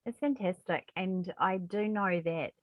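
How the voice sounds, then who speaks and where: Low-voiced woman interviewer, encouraging. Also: unhurried, dry recording. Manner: effusive, encouraging. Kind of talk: casual interview.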